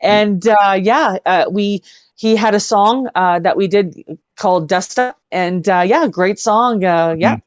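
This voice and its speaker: A woman's voice: medium pitch, takes it slowly, no echo, lo-fi sound. A woman chatting casually as an interview guest, effusive and repeating words for emphasis. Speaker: interview guest, singer-songwriter and actress.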